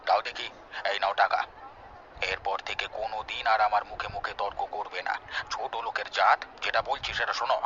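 A man playing a pushy, arrogant village gentleman in a cartoon, haughty and bullying. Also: fairly low pitch, steady tone, fairly quick, background noise, close to an everyday voice. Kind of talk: Bengali cartoon acting. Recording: dull lo-fi track, music behind.

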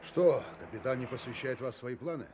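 An actor playing a sardonic, challenging private detective in a radio play. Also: male voice, medium pitch, a little fast, darkish tone, slight background noise, close to an everyday voice. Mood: wry, needling. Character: defiant, wry male private detective.

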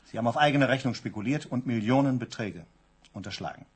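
An actor playing a stern syndicate chief who coldly confronts a partner in a radio play. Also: male voice, mid-range voice, quick pace, slight background noise, close to an everyday voice. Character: stern male syndicate chief, cold, unyielding.

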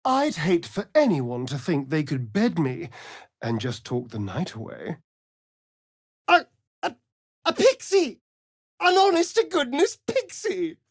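A man's voice: sits high, dry recording, studio quality, heavily acted. A man playing a sardonic, vain vampire spawn in a fantasy video game, with an arch, theatrical drawl. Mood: delighted, mocking.

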